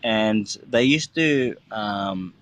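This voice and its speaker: A man's voice: fairly low pitch, drawn-out words. An Australian man in his thirties, a chef talking casually in an interview, rambling with lots of fillers. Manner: relaxed, rambling, many fillers like "like" and "you know". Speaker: chef and restaurant owner.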